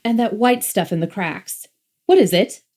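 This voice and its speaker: A woman's voice: medium pitch, normal speed, a little mellow, natural voice. A woman playing a haughty, easily horrified passenger of a future world in an audiobook drama, with an imperious, disdainful manner. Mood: bored, dismissive. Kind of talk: audiobook full-cast drama acting.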